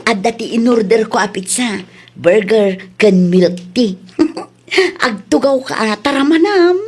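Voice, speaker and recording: A woman's voice: a little high, animated, takes it slowly, husky, heavily acted. A woman voice-acting a chatty, exclamatory character in a comedy drama, with a Filipino accent. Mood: inviting.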